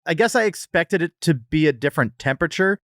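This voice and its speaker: Male podcast co-host, lively swooping delivery, casual and jokey, prone to hyperbole, dry studio sound. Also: a little high, fast talker, no room sound. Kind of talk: casual comedic food review chat.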